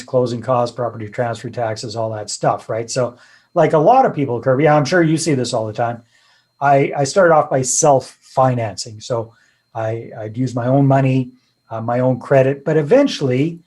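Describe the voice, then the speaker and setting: A middle-aged man from British Columbia speaks with a Canadian accent. He tells his story in a relaxed, chatty and self-deprecating way and laughs often. His voice sits at a middling pitch. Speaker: podcast guest, real estate investor. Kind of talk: casual storytelling interview.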